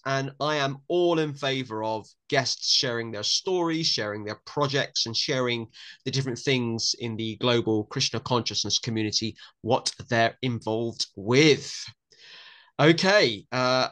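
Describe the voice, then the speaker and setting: A man's voice: mid-range voice, a bit bright. A man hosting a conversational interview podcast, talking in a polite, informal, chatty way. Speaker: podcast host.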